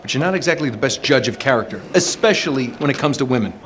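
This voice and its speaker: A man playing a roguish, wisecracking treasure hunter in a video game, with a sarcastic, excitable, conversational way of talking. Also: mid-range voice, quick pace, a little hiss or hum, close to an everyday voice. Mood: sarcastic, bitter. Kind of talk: naturalistic cinematic game acting.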